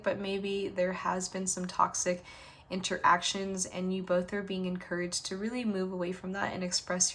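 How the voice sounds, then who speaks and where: A woman's voice: lowish voice, a bit bright. A woman reading tarot cards to camera, rambling and affirming, with frequent ums and you-knows. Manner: earnest, affirming and encouraging. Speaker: tarot reader.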